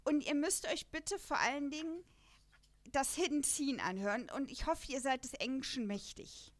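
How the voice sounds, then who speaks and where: Woman podcaster from the lower rhine, kinda high voice, rambly casual chat, pokes fun at herself. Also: moderate pace. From Lower Rhine region, Germany. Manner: chatty, rambling, self-deprecating. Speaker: podcast co-host. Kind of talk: casual chat about an audio drama.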